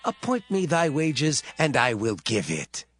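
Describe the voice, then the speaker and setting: A man's voice: mid-range voice, huge pitch swings, measured pace, a bit bright, full character voice. A man voicing Laban, a shrewd father-in-law, speaking in a coaxing, bargaining way. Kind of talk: dramatized scripture reading.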